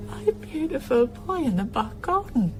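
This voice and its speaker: A woman's voice: high voice, big pitch swings, normal speed, over music. An actress playing a bereaved wife and mother, desperate and outraged, in a naturalistic radio drama. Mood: outraged disbelief.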